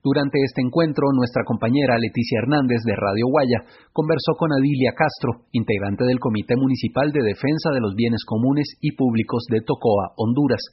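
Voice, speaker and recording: A man reading scripted radio news in a measured, formal way. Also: medium pitch, husky. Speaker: news presenter. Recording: dull, muffled radio sound.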